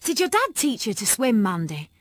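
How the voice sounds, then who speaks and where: A woman's voice: fairly high pitch, moderate pace, no room sound, pitched above the performer's own voice. Woman doing an eager chirpy schoolgirl. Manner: excited, chirpy. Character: bubbly, eager young schoolgirl.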